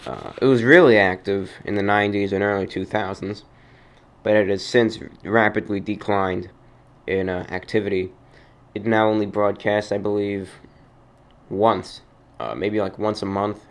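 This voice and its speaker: A man hosting a radio show, explaining things in a casual, halting, conversational way. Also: fairly low pitch, gravelly. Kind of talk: casual informal radio commentary.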